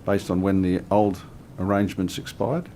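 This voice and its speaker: A man's voice: low voice, fairly even intonation, normal speed, mellow, a little hiss or hum. An Australian man on a parliamentary committee pressing a minister with questions, often repeating words as he starts.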